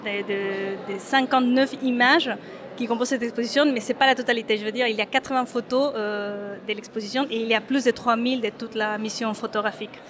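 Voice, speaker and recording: A hesitant woman from Mexico with a somewhat high voice answers an interviewer's questions about her work. She restarts her sentences often and fills pauses with "uh" as she searches for words. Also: fairly even intonation, crowd noise. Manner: hesitant, many fillers and restarts. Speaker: photographer. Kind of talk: interview at an exhibition opening.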